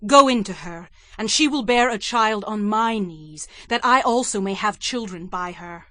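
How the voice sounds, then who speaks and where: An envious, determined younger wife played by a woman in an audio scripture drama. She speaks at a middle pitch with emotional emphasis, in a close, dry recording. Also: lively intonation, moderate pace, a bit bright, dry recording, lightly acted.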